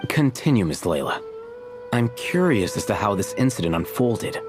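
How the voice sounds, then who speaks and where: An actor playing a terse, deadpan young man scholar with dry, aloof replies, in a game cutscene. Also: lowish voice, moderate pace, over music. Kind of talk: video game cutscene voice acting.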